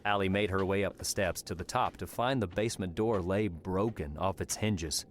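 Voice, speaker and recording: A man's voice: sits low, normal speed. A man narrating a scripted fantasy story as an audiobook narrator. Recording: clearly noisy background.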